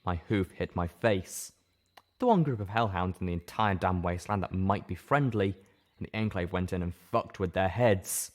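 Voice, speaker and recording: A man's voice: deep voice, moderate pace. A man reading scripted first-person fiction aloud as an audiobook narrator.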